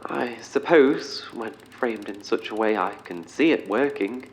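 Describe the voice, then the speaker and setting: An actor playing an eccentric professor in an audio drama, speaking in a formal, wordy, slightly awkward corporate manner. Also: male voice, on the low side, soft background music. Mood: defensive, halting. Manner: formal, wordy, halting under pressure. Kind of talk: orientation film and meeting speech in audio drama.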